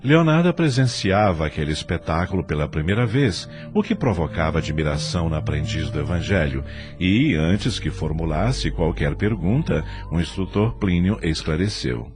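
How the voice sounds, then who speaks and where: Deep guy narrating an audio novel, formal storytelling, lots of melodic movement. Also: expressive, moderate pace, hardly any pauses, darkish tone, soft background music. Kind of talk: scripted audio drama narration.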